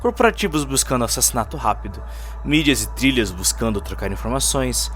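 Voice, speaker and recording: A man's voice: medium pitch, expressive, a little fast, a bit bright. A man with a Brazilian Portuguese accent giving dramatic scripted narration of a cyberpunk story. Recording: music under the voice.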